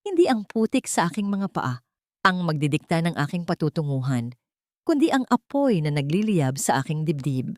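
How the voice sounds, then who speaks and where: A woman's voice: low voice, big pitch swings, moderate pace, darkish tone, no echo. A woman voicing a determined female airline captain who speaks with firm authority. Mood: solemn. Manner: authoritative, resolute.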